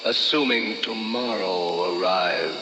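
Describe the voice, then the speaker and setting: Slow, ominous vintage radio announcer played by a man. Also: low-pitched. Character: ominous 1930s war bond radio announcer. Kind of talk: 1930s radio advertisement. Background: loud music.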